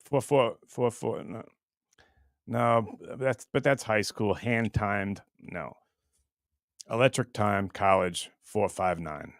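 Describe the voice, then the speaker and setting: A middle-aged man hosting a livestream with casual, rambling chat, blunt and crude in his joking, going between muttering and loud bursts. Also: medium pitch, no room sound, studio quality. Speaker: livestream show host.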